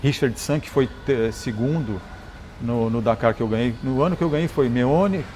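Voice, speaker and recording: A Brazilian man, a motorcycle engineer and rally champion, telling stories in a relaxed interview, often restarting words and adding "né?". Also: mid-range voice, normal speed, slight background noise. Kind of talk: conversational interview storytelling.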